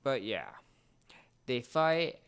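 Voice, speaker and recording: A man co-hosting a casual talk, explaining things in a halting way with repeated and restarted phrases. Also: medium pitch, sing-song, fairly bright tone, clean tone. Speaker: podcast co-host explaining a series.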